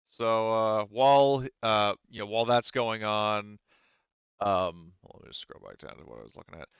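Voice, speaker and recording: A man narrating a tabletop game as game master in a casual, halting, rambling, conversational way. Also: lowish voice, expressive, creaky, clean tone, no room sound, dull audio.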